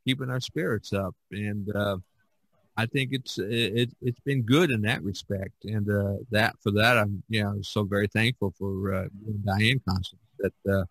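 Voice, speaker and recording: A man hosting a casual podcast chat, reminiscing in a halting, rambling way and often repeating his words. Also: on the low side, steady tone, fairly bright tone, no room sound, rough recording. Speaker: podcast host.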